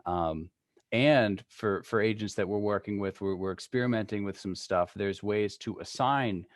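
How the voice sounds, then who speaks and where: A man's voice: on the low side, moderate pace, no echo, clean studio sound. A man speaking informally and agreeably as he explains things to a team in an online meeting. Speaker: real estate trainer co-leading a meeting.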